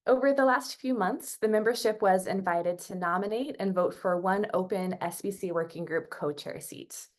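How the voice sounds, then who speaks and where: A woman's voice: fairly low pitch, darkish tone. Formal woman reading meeting announcements. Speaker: working group coordinator giving updates. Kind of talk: scripted announcements on a conference call. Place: online conference call.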